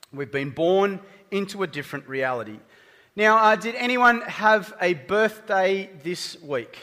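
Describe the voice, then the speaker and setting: Bright-voiced Australian preacher man. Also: sits high. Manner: conversational, chatty with the congregation. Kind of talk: sermon. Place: church hall. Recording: reverberant church hall.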